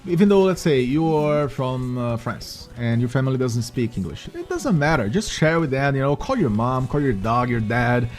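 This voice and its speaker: A man from Brazil with a Brazilian Portuguese accent, casting a live esports racing match with chatty, energetic hype for the audience. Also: mid-range voice, moderate pace, few pauses. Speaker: esports caster.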